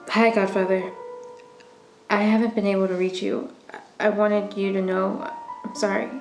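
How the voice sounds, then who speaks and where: An actress playing a desperate, lonely, nervous young woman in an audio drama, with halting, emotional delivery. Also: mid-range voice. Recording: roomy recording under loud music.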